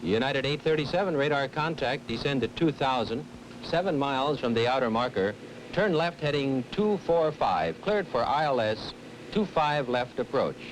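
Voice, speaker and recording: A man playing a steady radar approach controller, giving precise instructions in formal radio phrases. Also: mid-range voice, normal speed, lightly acted. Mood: steady, focused. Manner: methodical, even, precise. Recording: noisy old film soundtrack.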